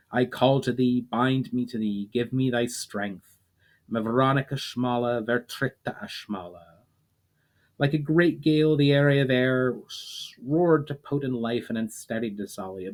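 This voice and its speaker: A man reading fantasy fiction aloud as a storyteller, in a dramatic audiobook style with measured phrasing that falls away at line ends. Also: medium pitch. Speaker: author reading own fiction aloud, channel host.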